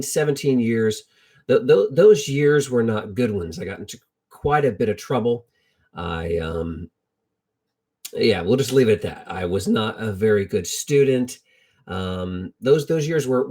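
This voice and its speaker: A middle-aged American man tells Bible stories informally with personal anecdotes, in a halting, reflective way, repeating phrases for emphasis. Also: on the low side, a little mellow. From United States. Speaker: lay Bible storyteller.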